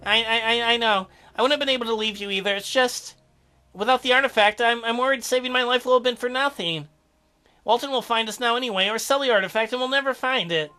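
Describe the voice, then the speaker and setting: A man voicing a weakened young merman who speaks in halting, pleading phrases. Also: extremely high pitch, pushed and bright, voice pushed up.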